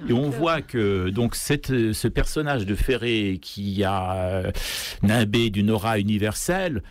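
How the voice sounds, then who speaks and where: A man from Iran speaking hesitantly, with many pauses, as an unscripted guest in a radio interview. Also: on the low side, no room sound, studio quality. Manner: hesitant, halting delivery full of pauses. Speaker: guest singer. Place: radio studio. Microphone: close studio microphone.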